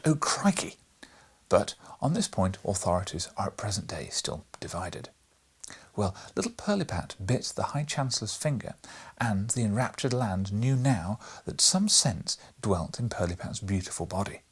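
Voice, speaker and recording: A man narrating a children's storybook as an audiobook reader, in an expressive, measured way. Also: lowish voice, husky.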